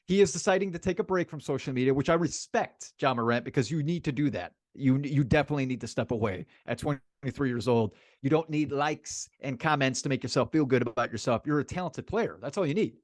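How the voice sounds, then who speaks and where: A man's voice: mid-range voice, brisk, few pauses, dry recording. Emphatic man talking sports, close dry sound. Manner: emphatic, repeats phrases for emphasis. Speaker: sports podcast co-host. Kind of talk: casual sports chat, opinionated commentary.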